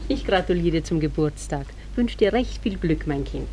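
A woman playing an affectionate, busy small-town mother in a radio drama, brisk and motherly. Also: low-pitched, expressive, noisy background. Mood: fond, busy.

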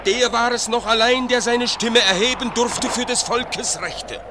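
A man's voice: extremely high pitch, a little slow, noisy background. An actor playing an older, pious fisherman and father who speaks in a fervent, lamenting way. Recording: storm sound effects behind.

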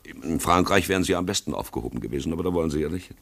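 An actor playing a brusque, dismissive police commissioner in a German radio play, curt and officious, with a gravelly, husky voice. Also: male voice, rumbling low, expressive, rapid-fire, exaggerated voice. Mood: scornful.

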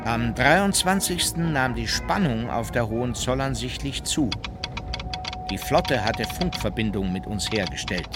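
An actor playing a composed court officer, narrating his memoirs in a measured, formal way for a radio documentary drama. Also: mid-range voice, lively intonation, music in the background, close to an everyday voice. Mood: factual.

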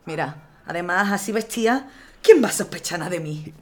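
A woman's voice: fairly low pitch, animated, fairly quick, a little mellow. An actress playing a stubborn, teasing young militia woman. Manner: determined, teasing.

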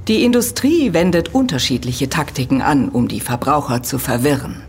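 An earnest female academic in an audio drama, with a very low voice and lively, swooping intonation. She lectures precisely and critically, stressing her points. A faint rumbling noise sits behind her. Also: normal speed. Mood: explanatory, critical. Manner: precise, didactic, emphatic. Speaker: expert being interviewed. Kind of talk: German audio drama dialogue, explanatory lecturing.

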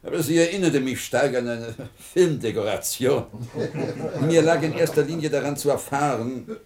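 An actor playing a skeptical, condescending science professor in a radio play, pedantic and ironic. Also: male voice, mid-range voice, normal speed, darkish tone, close to an everyday voice. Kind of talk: German science-fiction radio play acting.

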